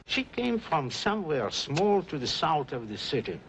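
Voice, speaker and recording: A measured, animated old-film storyteller. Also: male voice, a little high. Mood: solemn storytelling. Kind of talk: 1950s film drama acting. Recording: noisy old film soundtrack.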